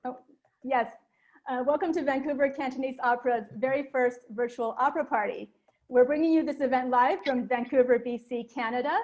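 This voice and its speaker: A woman from North America hosting a live online event, speaking in a friendly, clear way with small fillers. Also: high voice, darkish tone, muffled recording. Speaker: host.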